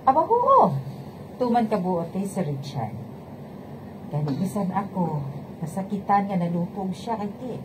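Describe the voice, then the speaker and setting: A woman's voice: medium pitch, sing-song, a little slow, long pauses, background noise. A woman playing a devoted, protective middle-aged household nanny in a radio drama, talkative, with a Hiligaynon Filipino accent. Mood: fond, concerned. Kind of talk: radio romance drama acting.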